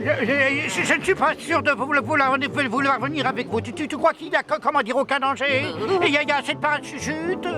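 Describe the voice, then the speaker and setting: A man's voice: very high-pitched, fast, hardly any pauses. A man voicing a fussy, anxious toy creature with a pedantic, easily flustered manner in a cartoon dub. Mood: nervous. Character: fussy, anxious male toy creature, self-styled expert. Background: background music.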